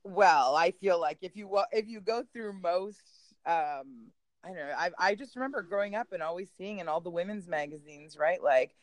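A woman's voice: fairly low pitch, normal speed, dry recording. A Canadian-accented woman guest on a podcast, born in Poland and raised in Canada, talking casually with easy laughs. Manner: chatty, laughs easily, self-deprecating. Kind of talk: casual conversational chat. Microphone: remote call.

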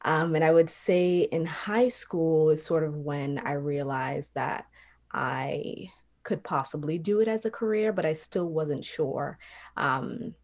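Low, gravelly-voiced woman dancer. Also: fairly even intonation, takes it slowly, darkish tone. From Trinidad. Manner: reflective, many ums and you-knows. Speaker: professional dancer, guest. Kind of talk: conversational interview answers.

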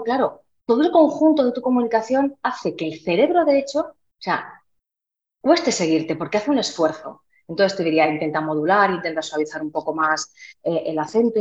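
A woman from Huelva, giving emphatic, conversational advice as an interview guest who trains voices. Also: lowish voice. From Huelva, Andalusia, Spain. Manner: emphatic, didactic, checks in with listeners. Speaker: guest, voice trainer and speech expert. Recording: dull, roomy, echoey sound.

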